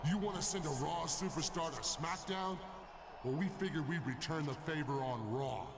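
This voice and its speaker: A man's voice: fairly high pitch, normal speed, lightly acted. Man acting a defiant wrestling invader, very noisy audio. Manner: confrontational. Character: menacing, defiant wrestling invader speaking for his faction. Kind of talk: scripted wrestling promo. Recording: very noisy game arena audio.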